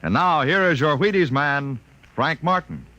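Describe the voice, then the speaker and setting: A man's voice: fairly high pitch, expressive, normal speed, sharp tone. A man reading scripted credits as a mid-century radio announcer, on a dull, noisy old recording.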